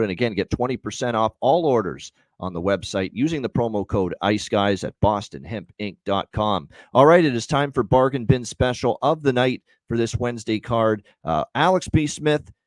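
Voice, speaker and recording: A man's voice: mid-range voice, moderate pace, no echo. A man hosting a sports betting show, reading promos and picks with lots of "uh" fillers and repetition. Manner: energetic, repeats himself, many "uh" fillers. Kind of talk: solo podcast promo and picks.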